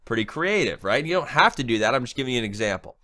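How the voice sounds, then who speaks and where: A man's voice: mid-range voice, very animated, fast talker, sharp tone. Nasal-sounding man teaching ad setup. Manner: casual, chatty, keeps asking "right?". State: nasal from a cold. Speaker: online marketing trainer. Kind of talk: screen-share tutorial.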